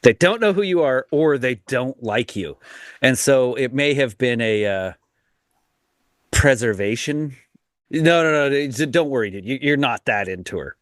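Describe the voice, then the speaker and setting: A man's voice: mid-range voice, lively intonation, a little slow, no room sound, studio quality. A young man co-hosting a podcast in a casual, rambling chat with many restarts. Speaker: podcast co-host.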